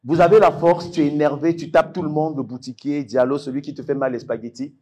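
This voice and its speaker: A man's voice: fairly high pitch, steady tone, fairly quick, a little mellow, husky. A man with an Ivorian accent, working as master of ceremonies at a fight event, hyping up a live audience with emphatic, repeated phrases.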